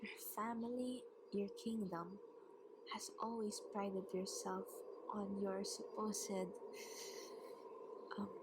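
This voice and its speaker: Loud noise sits behind a vampire queen, acted by a woman. She is vengeful and taunting, speaking at a slow, deliberate pace in a medium-pitched voice. The delivery is intimate ASMR roleplay, gloating over a captive. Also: fairly even intonation, lightly acted.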